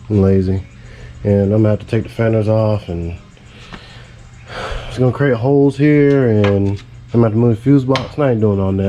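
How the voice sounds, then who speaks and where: A man's voice: lowish voice, mellow, a little hiss or hum. A man with a head cold, stuffy and congested, casually rambling through a car build in a garage. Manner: laid-back, rambling, casual swearing.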